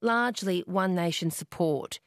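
A woman radio host with a lowish voice asks her guest leading questions in an easy conversational way. She is recorded close on a dry studio microphone. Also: measured pace, no room sound. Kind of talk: radio interview.

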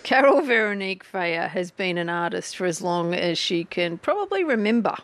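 A woman's voice: on the low side, big pitch swings, moderate pace. A woman, hosting a radio interview in an artist's studio, chatty and playful with her guest. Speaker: radio presenter.

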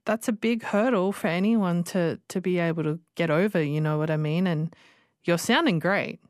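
A woman's voice: on the low side, normal speed, no room sound. A woman hosting a casual radio interview in a studio, encouraging and chatty with many fillers. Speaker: radio show host.

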